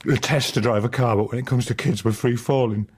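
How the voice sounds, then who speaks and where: An actor playing a guilt-ridden, plain-spoken father in his thirties, husky and halting, in a naturalistic radio drama. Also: mid-range voice, fairly even intonation, a little mellow, lightly acted. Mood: guilty, tender. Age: 30s. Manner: halting, confessional.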